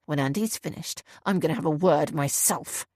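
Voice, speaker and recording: A woman voicing a blunt, protective grown man with a husky, growling voice in a storybook reading. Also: fairly low pitch, a little mellow, no room sound, clean studio sound, voice pushed down. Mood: angry. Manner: blunt, sarcastic, quick to anger. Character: gruff protective adult man, teasing brother.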